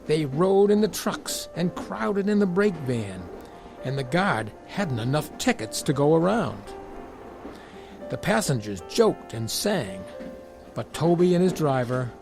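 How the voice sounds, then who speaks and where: Animated, higher-pitched man telling stories. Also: unhurried, gravelly, over music. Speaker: storyteller. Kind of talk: scripted children's story narration.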